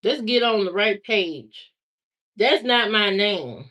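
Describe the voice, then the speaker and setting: A woman's voice: medium pitch, animated, takes it slowly, fairly bright tone. A woman from Indiana chatting casually on a livestream, answering viewer comments and repeating herself as she talks back to them. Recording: slightly muffled lo-fi stream audio.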